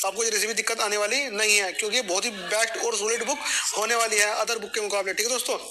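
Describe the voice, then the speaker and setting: A man promoting a study book to viewers with a pushy, repetitive sales pitch. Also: bright, forceful tone, slight background noise.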